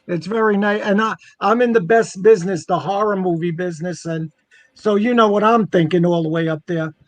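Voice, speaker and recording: A man's voice: high-pitched, a little fast, no room sound. A man telling a personal anecdote in a casual, conversational way, acting out what people said. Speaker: guest telling a personal ghost story.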